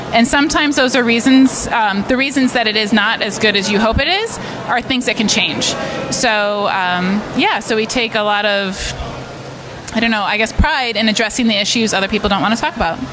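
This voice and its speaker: A woman, a physical therapist, introduces herself on a live conference panel, playful and frank. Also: fairly high pitch. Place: conference session with a live audience. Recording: live conference room with loud background noise.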